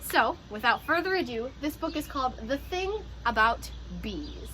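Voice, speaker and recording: A woman who teaches pre-K, reading a picture book aloud to young children in an animated, encouraging way with teacher asides. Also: sits high, moderate pace, noisy background.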